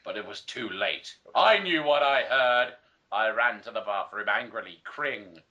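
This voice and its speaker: A man reads aloud theatrically in a bright, forceful voice. His delivery swings widely in pitch and stumbles over odd wording, like a player in a reading game among friends. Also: lively intonation, measured pace, lo-fi sound.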